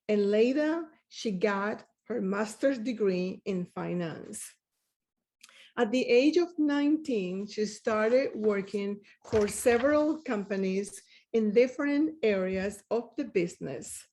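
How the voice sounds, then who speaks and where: Slow, mellow-voiced woman presenting awards. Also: mid-range voice. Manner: measured, reads prepared tributes. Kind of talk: presenting awards at a live event.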